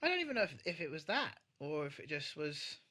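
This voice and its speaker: A man with a very bright, forceful voice and lively, swooping pitch chats casually as a podcast co-host. He is emotive and emphatic, and swears freely. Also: fast talker. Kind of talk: casual chat.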